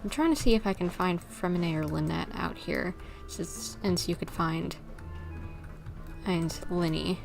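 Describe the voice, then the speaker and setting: A woman's voice: on the low side, measured pace, gravelly, faint music behind. A woman livestreaming a game, giving casual commentary and thinking aloud informally as she plays.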